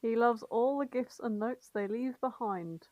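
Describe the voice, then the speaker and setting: A woman's voice: fairly high pitch, measured pace, no echo, highs cut off. A woman co-hosting in casual comic chat, giggly, sweary and self-deprecating as she recaps what she has been reading. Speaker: podcast co-host.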